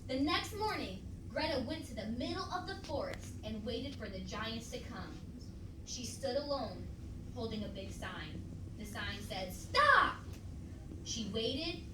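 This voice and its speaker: A woman reading a picture book aloud to a child in an expressive, emphatic way, pausing to ask questions. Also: a little high. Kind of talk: animated children's read-aloud. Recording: roomy, distant mic, noisy home recording.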